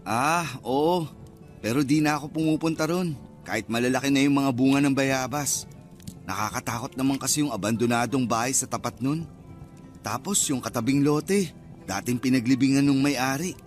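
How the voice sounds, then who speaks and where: A man's voice: mid-range voice, normal speed, faint music behind, lightly acted. A man playing a superstitious, easily spooked young man chatting in slang in a Filipino radio drama. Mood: chatty. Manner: casual slangy banter, jittery. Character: superstitious, easily spooked young male cousin, construction worker.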